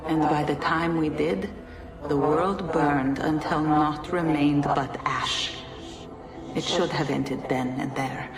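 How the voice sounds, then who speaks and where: A woman voicing an ancient godlike being in a game cutscene, speaking in solemn, measured, formal oration. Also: deep voice, fairly even intonation. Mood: solemn, mournful. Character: ancient godlike female being of a dying precursor race, solemn. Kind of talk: dramatic game cutscene monologue. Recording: echoey, distant voice under loud music.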